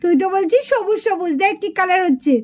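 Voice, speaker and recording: Very high-pitched little schoolgirl doll. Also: fast talker, a little mellow. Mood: excited, instructive. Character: excited little schoolgirl doll making slime. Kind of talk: children's puppet show dialogue.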